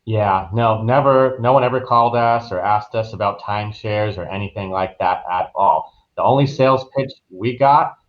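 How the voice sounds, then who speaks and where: A man's voice: lowish voice, moderate pace, few pauses, lo-fi sound. A man giving casual, easygoing, anecdotal answers as an interview guest. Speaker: travel agent, interview guest.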